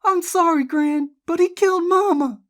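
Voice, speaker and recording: A woman's voice: extremely high pitch, normal speed, no echo, studio quality, pitched above the performer's own voice. A woman voicing a furious, grief-stricken young woman who accuses with venom in an audiobook drama. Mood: hysterical accusation. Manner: venomous, hysterical, emphatic.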